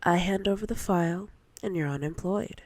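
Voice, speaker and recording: A woman voicing a domineering, predatory female boss in intimate roleplay ASMR, speaking in a breathy, often whispered voice with slow, commanding, teasing menace. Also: on the low side, darkish tone, dry recording, close to an everyday voice. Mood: cold, threatening.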